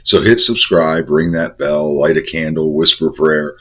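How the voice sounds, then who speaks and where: An older man with a head cold, hosting a Bible study and teaching in measured, incantatory short phrases. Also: very deep voice, steady tone, sharp tone, husky. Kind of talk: spiritual teaching monologue. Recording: dull lo-fi recording.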